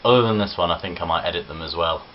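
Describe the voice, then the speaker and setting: A man's voice: deep voice, very fast. A young man from Nottinghamshire with a Midlands accent, chatting casually and self-deprecatingly in a home video vlog. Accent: English Midlands (Nottinghamshire). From Nottinghamshire, England. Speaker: vlogger. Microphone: camera on tripod. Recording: dull lo-fi webcam audio with faint hiss.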